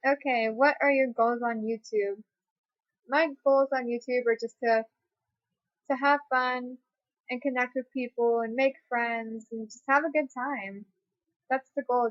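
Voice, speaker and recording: A woman's voice: on the high side, no room sound. A twenty-year-old woman answering viewer questions in a casual, rambling way, full of fillers and restarts. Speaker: YouTuber. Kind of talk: casual facecam Q&A. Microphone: webcam mic. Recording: dry, dull lo-fi webcam audio.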